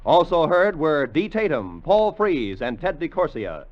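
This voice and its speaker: A man's voice: a little high, big pitch swings, a little slow. A man with an American accent announcing formally and emphatically from a script, in 1950 radio style. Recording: muffled vintage radio recording, faint music.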